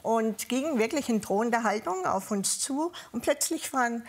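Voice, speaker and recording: A woman with a southern German accent and a fairly high voice talks as an interview guest. She recounts her own experiences in a personal, conversational way. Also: expressive, normal speed. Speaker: interview guest, former police officer. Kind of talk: personal recollection in a live conversation.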